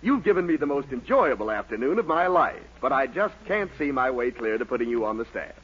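An actor playing a wry, politely exasperated newspaper editor in a 1940s radio comedy, with theatrical delivery. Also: male voice, medium pitch, very animated, fairly quick, fairly bright tone. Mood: flustered. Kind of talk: 1940s radio sitcom acting. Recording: noisy, dull 1940s radio recording, studio audience.

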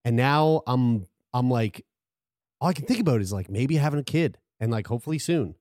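A man's voice: medium pitch, animated, fairly quick, a little mellow, no room sound, studio quality. A man of thirty-five hosting a podcast, talking casually with lots of restarts and casual swearing. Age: 30s. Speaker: podcast host. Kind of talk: casual chat.

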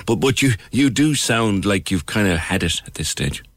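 A man's voice: lowish voice, lively intonation, normal speed, fairly bright tone. An Irish man hosting a current affairs radio phone-in, chatty and probing, repeating phrases for emphasis.

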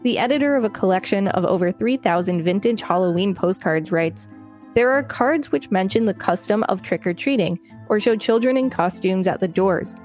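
Female podcast co-host, mid pitch, article read-aloud with light commentary, faint music bed. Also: expressive, normal speed, a little mellow.

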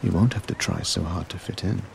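An actor playing a calm, controlling resistance agent in an audio drama, speaking in a deliberate, gravelly way. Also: male voice, low-pitched, over music. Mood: measured, cryptic. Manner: slow, deliberate, measured.

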